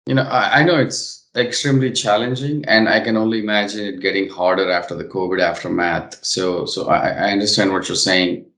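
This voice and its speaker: A man hosting a business interview, speaking in halting, chatty phrases, in a roomy echoing recording. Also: low-pitched, fairly even intonation, fairly bright tone. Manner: halting, chatty phrasing with frequent "you know". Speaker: interview host.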